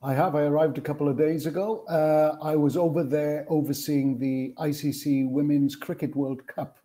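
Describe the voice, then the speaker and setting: A Welsh man in his fifties speaks as a guest in an interview, telling things in a conversational way. His voice is soft-edged and mellow, pitched slightly above the middle for a man. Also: little pitch movement, normal speed. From Wales. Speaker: interview guest, television sports producer. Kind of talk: conversational career recollection in a panel interview.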